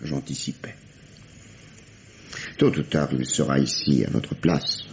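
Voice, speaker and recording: A man's voice: very deep voice, slow, a little hiss or hum, exaggerated voice. An actor playing a shrewd, middle-aged police detective in a radio mystery, teasing and condescending as he interrogates. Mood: dry, knowing. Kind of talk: 1950s French radio mystery acting. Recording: dull, vintage radio drama sound.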